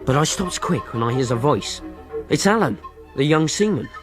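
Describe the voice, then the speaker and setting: A man's voice: a little high, animated, moderate pace, soft background music, natural voice. An actor playing a frightened but earnest ship's boy, telling his story in rustic grammar in a tense radio drama. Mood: hushed dread.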